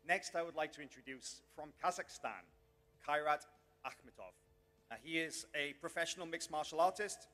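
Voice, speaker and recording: A man's voice: fairly high pitch, a bit bright. A man hosting a press conference, introducing fighters in a formal, announcer-like way. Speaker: event host.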